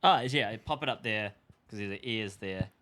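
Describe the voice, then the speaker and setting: A man's voice: lowish voice, normal speed, pushed and bright. A man co-hosting a casual sports banter podcast. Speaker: podcast co-host.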